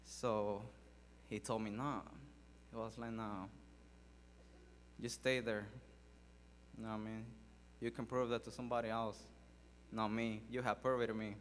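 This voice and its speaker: A man speaks slowly and haltingly, pausing often and filling the gaps. His voice sits a little low and carries a long hall echo over a faint hum. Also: fairly bright tone. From Mexico. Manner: halting, with many fillers and pauses. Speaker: speaker sharing his life story. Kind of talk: personal testimony speech. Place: large hall at a public event.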